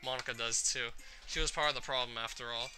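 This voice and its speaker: A man's voice: medium pitch, steady tone, quick pace, over music. A man hosting a gaming video, commenting on a visual novel and reading its text aloud.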